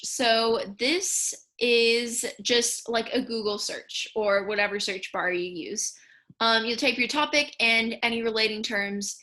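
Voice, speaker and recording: A woman's voice: on the high side, bright, forceful tone. A young woman giving a casual slideshow lesson on speech research, chatty with many fillers. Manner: chatty, lots of "um" and "like". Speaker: speech and debate student coach.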